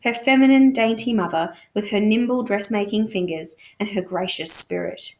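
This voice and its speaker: A woman's voice: medium pitch, moderate pace, darkish tone. A woman narrating a novel expressively, as a teacher reading aloud to students.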